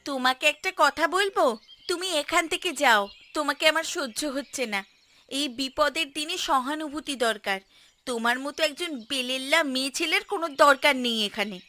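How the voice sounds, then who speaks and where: Bright, high Bengali village woman. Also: normal speed. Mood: angry, scolding. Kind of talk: cartoon voice acting. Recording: music behind the voice.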